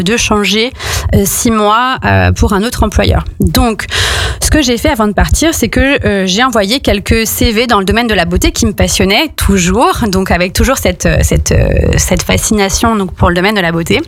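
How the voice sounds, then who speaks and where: A woman's voice: mid-range voice, no echo. Give me a young woman from France, casually telling her story with a lot of hesitation. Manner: hesitant, many fillers and restarts.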